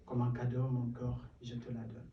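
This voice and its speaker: A young man performs spoken-word verse in French, learned on top of his English, rising into rhythmic, building lines between self-deprecating asides. His voice sits at an ordinary middle pitch. The stage mic catches him from a distance, and a roomy live echo hangs around every phrase. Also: monotone, normal speed, highs cut off, very noisy. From Kenya. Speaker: performance poet. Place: small stage in a cellar venue.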